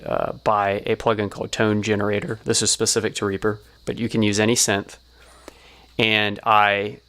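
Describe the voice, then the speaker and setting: Low-voiced man explaining audio techniques. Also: fairly bright tone. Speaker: sound designer giving a tutorial. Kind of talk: explanatory tutorial narration.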